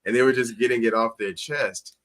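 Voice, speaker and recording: A man's voice: medium pitch, fairly even intonation, a little fast, crowd noise, poor audio. A man in an interview, telling personal stories conversationally as a guest and acting out quoted dialogue with emphasis. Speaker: talk show guest, teacher. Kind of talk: conversational storytelling on a talk show.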